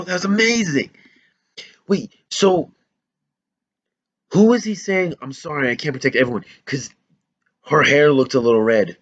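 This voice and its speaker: A hoarse young man hosting a casual trailer reaction, breaking into excited rapid exclamations. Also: sits high, muffled recording. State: hoarse from yelling.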